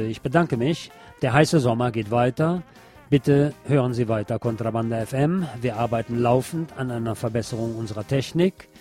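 A mature man presenting a spoken review on a radio show in a measured, deliberate manner, addressing listeners directly. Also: medium pitch, a little mellow. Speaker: radio host and series reviewer.

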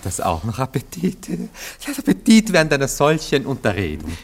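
An actor playing a jealous, ironic bourgeois lover in a radio play, speaking with an impatient, condescending manner. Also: male voice, medium pitch, huge pitch swings, moderate pace, vocal fry, full character voice. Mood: indignant, jealous.

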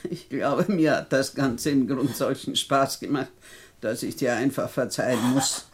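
An actress playing a shrewd, mocking older lady in a German radio crime comedy, speaking in a wry, deliberate way. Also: extremely low pitch. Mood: amused, forgiving.

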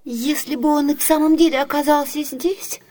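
A woman's voice: high voice, fairly even intonation, moderate pace, dark tone, natural voice. A woman playing an anxious, delicate young maiden in love in a theatrical radio play.

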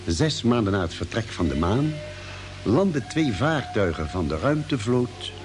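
An actor playing an earnest, grave ship's doctor in a Dutch space radio drama, narrating and speaking with dramatic, clear phrasing. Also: male voice, lowish voice.

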